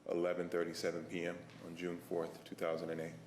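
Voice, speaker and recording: A man's voice: low voice, monotone, fast, darkish tone, a little hiss or hum. A man testifying as a witness in court, giving brief, clipped, matter-of-fact factual answers.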